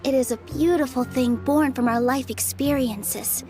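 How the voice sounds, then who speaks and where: A gentle young dancer for a video game. Her high voice moves slowly and sincerely, modest and reflective, with soft music underneath. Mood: reflective, grateful. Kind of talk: scripted video game dialogue. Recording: light background music under game dialogue.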